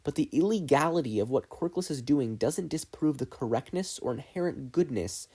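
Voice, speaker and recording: Man acting an earnest, animated teenage boy idealist. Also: medium pitch, normal speed, slightly rough. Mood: impassioned, persuasive. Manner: emphatic, argumentative.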